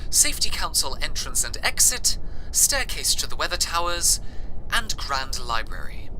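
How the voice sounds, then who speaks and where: A man's voice: a little high, big pitch swings, moderate pace, sharp tone, a little hiss or hum, full character voice, low-quality recording. A man voicing a courteous, formal palace assistant in a radio story, with a polite announcing manner. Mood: formal, welcoming.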